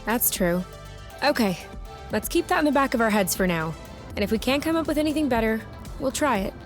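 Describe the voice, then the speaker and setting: Game heroine actress, young and defiant, highish voice, sarcastic and anxious, speaking over music. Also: female voice, brisk, natural voice. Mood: skeptical, warming. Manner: blunt, sarcastic, expressive. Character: feisty, defiant young woman, anxious runaway lover. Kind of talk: video game cutscene acting.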